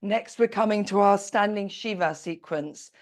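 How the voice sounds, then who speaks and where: A woman teaching a slow-flow yoga class, calmly cueing each pose while doing it herself, her voice a little croaky. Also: medium pitch. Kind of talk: slow guided yoga instruction.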